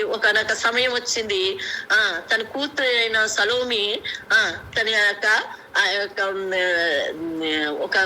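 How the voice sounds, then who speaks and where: Bright, high-voiced woman preaching. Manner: halting, repetitive, with rhetorical questions. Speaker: lay preacher. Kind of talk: devotional Bible teaching, extemporaneous. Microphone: conference phone call. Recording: noisy prayer conference call.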